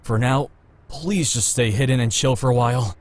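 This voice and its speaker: Visual novel role, male actor: protective young man on the run, mid-pitched voice, self-assured, reassuring but evasive. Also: normal speed, natural voice. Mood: impatient, reassuring.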